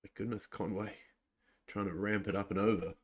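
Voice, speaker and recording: A man's voice: on the low side, steady tone, brisk. A young man from New Zealand with a New Zealand accent, chatting casually to viewers while reacting live to a cricket match. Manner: laid-back, chatty, restarts often. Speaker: live stream host.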